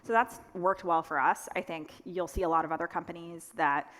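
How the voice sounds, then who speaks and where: Female tech executive, panel discussion. Lowish pitch, casual phrasing, reverberant stage sound. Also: steady tone, a little fast, a bit bright, a little hiss or hum. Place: on stage at a conference. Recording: echoey hall with long reverb.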